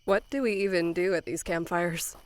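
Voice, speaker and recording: A woman's voice: fairly low pitch, moderate pace, slight background noise, lightly acted. A woman playing an earnest, reserved but determined young female camp counselor in an audio drama, sincere and plain-spoken. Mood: reserved, friendly.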